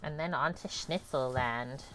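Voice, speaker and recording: A young woman with a calm, chatty manner, walking viewers through a home cooking session in her kitchen. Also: extremely low pitch, measured pace, low-quality recording. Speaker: cooking streamer. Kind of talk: casual cooking demonstration.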